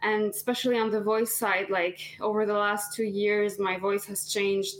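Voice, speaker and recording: A woman's voice: mid-range voice, flat delivery, moderate pace, poor audio. A woman in her twenties, a singer-songwriter guest on a podcast, talking casually with lots of fillers and restarts. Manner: chatty, many fillers and restarts.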